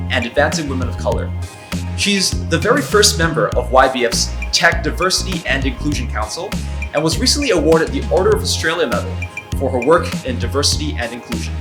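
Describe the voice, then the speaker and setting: A man hosting a podcast interview, friendly and casual, with some "uh" and "you know.". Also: on the high side. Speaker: podcast host.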